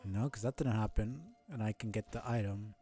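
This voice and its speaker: A man's voice: fairly low pitch, normal speed. A man streaming a video game with casual live commentary, musing aloud to himself and swearing now and then.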